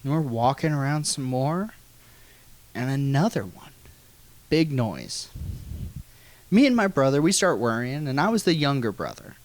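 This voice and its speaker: An American man telling a funny personal story in an animated, folksy way with some swearing. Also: mid-range voice, unhurried, long pauses. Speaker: guest storyteller.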